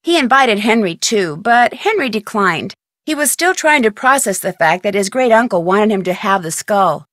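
A young woman detective played by an actress narrates a mystery game with composure and dry wit. Her delivery is measured and storylike, recorded close and dry. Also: medium pitch, no room sound, close to an everyday voice. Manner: clear measured storytelling, dryly wry.

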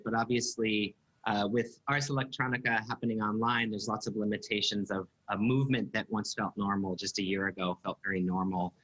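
A man's voice: on the low side, a bit bright, low-quality recording. A man in his thirties with an American accent, chattily moderating a panel over a video call. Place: indoor room at home on a video call. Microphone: computer video call.

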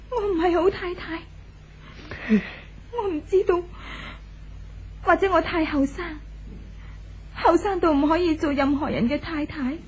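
A restless, sorrowful young wife confesses plaintively in Hong Kong Cantonese. Her voice is high and mellow, in 1970s radio drama style on a slightly muffled vintage recording. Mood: sad, regretful. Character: melancholy, conflicted young wife, restless in marriage.

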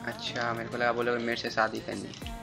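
A man's voice: mid-range voice, completely flat delivery, a little fast, vocal fry. A man playfully flirting with strangers on a video chat, using cheesy lines. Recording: loud music behind the voice.

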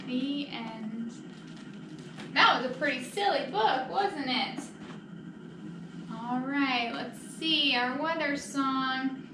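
A woman's voice: sits high, slow pace, pauses often. A woman leading storytime as a children's librarian, talking simply and encouragingly to small children. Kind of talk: children's storytime reading and singing. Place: library children's room, indoors. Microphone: distant camera microphone across the room. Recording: echoey room, distant mic, noisy.

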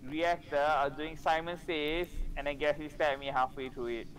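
A man in a game voice chat, recounting what happened to him in an animated way. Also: on the high side, moderate pace, rough recording. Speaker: game player. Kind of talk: casual voice chat.

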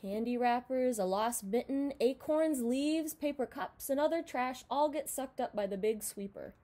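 A young woman reading a children's picture book aloud in an expressive, dramatized way, recorded in a room at home. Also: sits high, normal speed. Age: young adult. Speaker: storybook reader.

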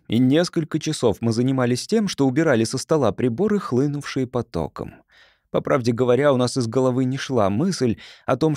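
A man's voice: medium pitch, dark tone, dry recording, studio quality. A man reading a first-person story as an audiobook narrator in a scripted, storytelling style.

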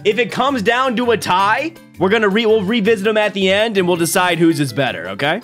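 A man's voice: high voice, animated, brisk, a bit bright, faint music behind. A man in his twenties hosting a casual reaction stream, joking and laughing often, on a close desk mic at home. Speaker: streamer host. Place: home streaming room, indoors. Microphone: desk boom mic close up.

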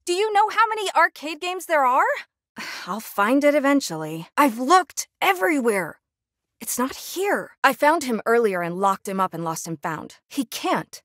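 A woman's voice: high voice, big pitch swings, normal speed, a bit bright, no echo, clean studio sound. A young woman playing a stern, irritated night security guard in a horror video game, exasperated and sometimes sing-song when coaxing. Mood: defensive, frustrated.

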